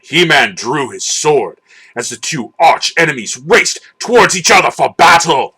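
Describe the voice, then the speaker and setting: A man giving a dramatic, theatrical and deliberate scripted narration of a children's storybook. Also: on the high side, expressive, pushed and bright, husky.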